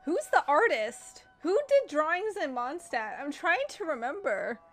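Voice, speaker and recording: Excitable high-voiced woman streamer. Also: measured pace, soft background music. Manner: chatty, excitable, thinks aloud. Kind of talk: casual gameplay commentary.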